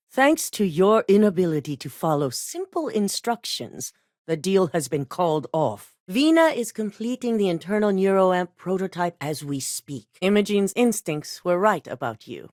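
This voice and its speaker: A ruthless corporate executive for a video game, cool and controlled. Her voice sits low yet moves with lively rises and falls, delivered crisply in a dry studio sound. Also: dry recording. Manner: measured, clipped and coldly authoritative. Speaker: company boss giving missions.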